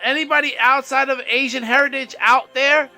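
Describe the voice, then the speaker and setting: A man's voice: extremely high pitch, steady tone, pushed and bright, faint music behind. A man co-hosting a casual wrestling podcast, speaking with confident emphasis. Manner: confident, emphatic, quick to laugh. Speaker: podcast co-host.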